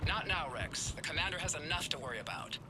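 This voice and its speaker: A man voicing an earnest, excitable alien scientist in a video game, precise and dramatic in delivery. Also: fairly high pitch, fast talker, background noise. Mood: earnest, hushed.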